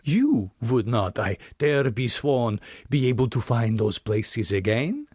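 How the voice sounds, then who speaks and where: Old nobleman vampire, slow, deliberate, courtly, proud, menacing, gothic audiobook delivery, dry sound. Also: mid-range voice, very animated, a little mellow, slightly rough, no echo, heavily acted. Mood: scornful, ominous. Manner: slow, grandly formal, deliberate phrasing. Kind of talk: gothic audiobook character reading.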